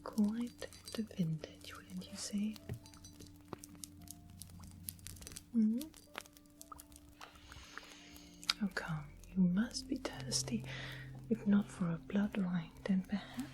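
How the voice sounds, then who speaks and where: A woman playing a sweet but sly fantasy witch in a whispered ASMR roleplay, coaxing and softly menacing with polite, breathy speech. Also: mid-range voice, measured pace, noisy background, lightly acted. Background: music and ambient sound.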